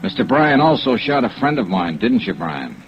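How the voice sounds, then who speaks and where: A man's voice: mid-range voice, lively intonation, moderate pace, gravelly. An actor playing a shrewd, hard-boiled male insurance investigator, clipped and dry in a 1950s radio detective style. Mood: cool, probing. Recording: dull, very noisy old radio recording.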